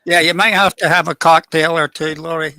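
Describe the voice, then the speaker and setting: Bright-voiced older man. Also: on the high side, fairly even intonation, fairly quick, dry recording. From Scotland, raised in Northern Ireland. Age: 60s. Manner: easygoing, wry, repeats words. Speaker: guest musician. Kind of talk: casual chat on a video call.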